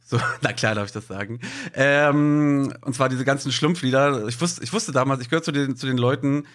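A man's voice: medium pitch, moderate pace, fairly bright tone. A man from Berlin chatting casually and sharing recollections, often interrupting his own train of thought. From Berlin, Germany. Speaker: podcast panellist. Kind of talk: casual chat, personal recollection.

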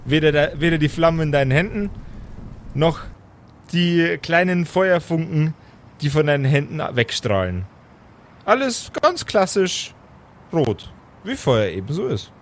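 A man's voice: on the high side, lively intonation, unhurried, long pauses, slight background noise. A man narrating an improvised tabletop fantasy game as its game master.

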